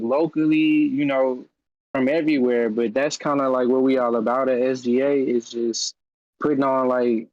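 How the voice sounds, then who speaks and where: A man's voice: mid-range voice, darkish tone, dry recording. Easygoing man on a dull video call. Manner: loose, filler-heavy, enthusiastic talk. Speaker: podcast guest. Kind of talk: casual chat. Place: home room on a video call.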